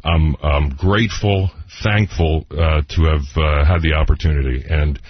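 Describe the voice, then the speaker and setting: Deep, husky male radio sidekick. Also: steady tone, unhurried, no room sound. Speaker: radio show producer. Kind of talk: casual on-air banter. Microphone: close studio microphone. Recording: dull lo-fi radio broadcast.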